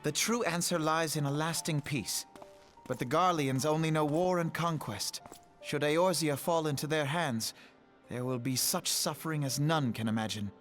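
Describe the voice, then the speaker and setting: Earnest young male idealist, fantasy game , male actor: fairly high voice, formal, rousing, soft music under. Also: moderate pace, close to an everyday voice. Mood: grave, rousing. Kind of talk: fantasy video game acting.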